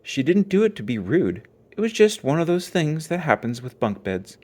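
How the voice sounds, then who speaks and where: A man narrating a children's storybook aloud with expressive storytelling. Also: a little high, moderate pace. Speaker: storybook reader.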